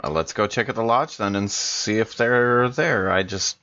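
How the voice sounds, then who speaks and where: A man's voice: fairly low pitch, moderate pace, bright, forceful tone, no room sound. Man in a tabletop game, slightly muffled. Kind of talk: casual in-character improvised chat.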